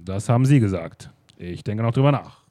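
A man's voice: fairly low pitch, animated, fairly quick, dark tone, natural voice. A man playing a detective in an improvised audio drama, terse and musing, bossing his assistant around. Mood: self-assured. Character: unhurried, self-assured male detective, bossy with his assistant.

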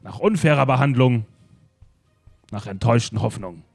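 A man's voice: medium pitch, lively intonation, normal speed, gravelly, a little mellow, soft background music. A man playing a pompous detective in an improvised radio play, declaiming with theatrical emphasis. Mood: grandiose. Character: pompous theatrical male detective, grand speeches. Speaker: improv performer.

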